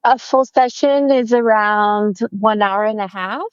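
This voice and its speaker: A woman's voice: mid-range voice, a little slow, clean tone, no room sound, muffled recording. A woman from Brazil with a Brazilian accent, chatting in an interview about her photography work, friendly and full of fillers and restarts. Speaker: family photographer, guest. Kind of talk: casual interview chat.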